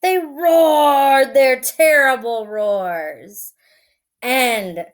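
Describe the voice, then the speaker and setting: A woman reading a children's picture book aloud expressively, drawing out phrases and adding simple asides for young listeners. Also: high-pitched. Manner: expressive, drawn-out delivery. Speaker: storybook reader.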